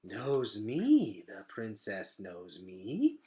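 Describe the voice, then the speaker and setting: A man voicing an awed, reverent giant cockroach in a storybook, with halting, repetitive phrasing. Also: lowish voice, wildly swooping pitch, dark tone, exaggerated voice. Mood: hushed awe.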